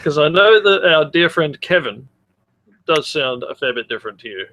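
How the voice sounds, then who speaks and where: A man's voice: on the high side, very animated, moderate pace, sharp tone, muffled recording. A man with an Australian accent chatting casually as a podcast co-host, with a joking, sweary manner.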